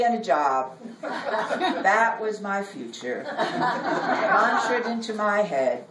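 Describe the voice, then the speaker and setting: A woman in her sixties reads her own personal, wry writing aloud at a live reading. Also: medium pitch. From Brooklyn, United States. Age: 60s. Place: indoor library reading room. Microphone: distant camera or phone in audience. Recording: roomy, distant mic, background noise.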